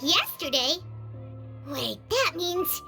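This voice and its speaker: A woman voicing a small, chirpy, childlike fairy companion in a video game, with a cute squeaky voice. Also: sing-song, takes it slowly, creaky, pushed and bright, exaggerated voice. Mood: surprised. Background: music.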